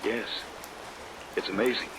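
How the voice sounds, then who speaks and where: A man's voice: unhurried, close to an everyday voice. A man acting in a vintage radio drama as an earnest research assistant who narrates his own story dramatically. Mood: earnest, defensive. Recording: vintage radio recording, loud rain noise.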